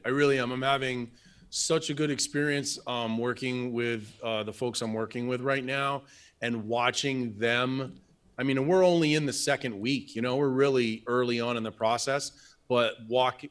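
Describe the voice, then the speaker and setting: A man's voice: mid-range voice, clear, resonant tone. A man co-hosting a live stream, talking enthusiastically and rambling with restarts about his coaching work, on a video call mic. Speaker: business coach, co-host. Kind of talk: casual live stream chat.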